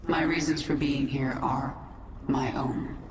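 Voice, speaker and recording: A woman's voice: very deep voice, steady tone, slow pace, very noisy. A woman playing a grim, driven warrior queen in a video game cinematic, terse and resolute. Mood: curt brooding. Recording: roomy echo, music underneath.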